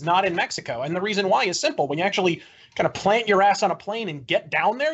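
A man's voice: high-pitched, fairly bright tone, a little hiss or hum. A man making a wordy, florid and opinionated argument as a debater in a political debate. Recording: dull lo-fi audio.

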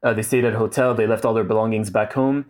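A man's voice: lowish voice, fairly even intonation, quick pace, a little mellow. A man in his thirties, a guest in a casual interview, speaking thoughtfully with many fillers and restarts. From Saudi Arabia.

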